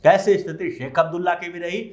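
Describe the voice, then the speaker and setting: A man's voice: high-pitched, fast talker. A man delivering explanatory political commentary as a news commentator, sometimes posing rhetorical questions.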